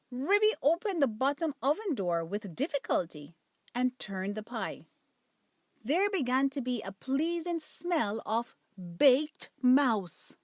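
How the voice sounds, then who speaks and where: A woman storyteller reading a children's bedtime story aloud, with careful, expressive phrasing. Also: sits high, no room sound, clean studio sound.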